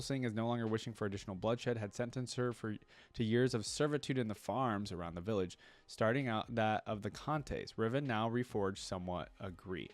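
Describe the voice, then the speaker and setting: A man's voice: lowish voice, no echo. A man reading game lore aloud as a reaction creator, breaking off for casual conversational asides.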